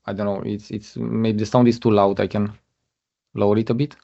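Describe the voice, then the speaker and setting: Halting man presenting over a muffled call. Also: fairly low pitch, level tone, creaky, warm tone. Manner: halting, many fillers and restarts. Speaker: guest speaker. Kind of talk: webinar presentation. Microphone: video call. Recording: muffled lo-fi online call audio.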